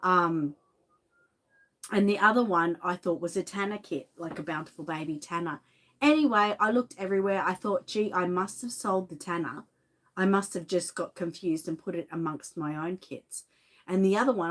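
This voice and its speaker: A woman's voice: fairly low pitch, moderate pace, a bit bright. Aussie lady chatting on a live stream from her home studio, bit of a cough, says hi to everyone. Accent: Australian. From Australia. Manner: chatty, greets viewers by name. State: lingering cough. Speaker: reborn doll artist and shop owner. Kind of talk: casual livestream chat.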